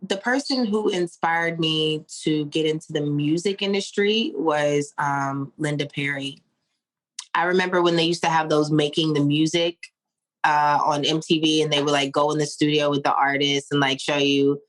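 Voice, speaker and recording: A woman in her thirties from Pittsburgh, a singer-songwriter answering interview questions in a relaxed, rambling way. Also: low voice, moderate pace. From Pittsburgh, USA. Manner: relaxed, rambling with fillers and laughs.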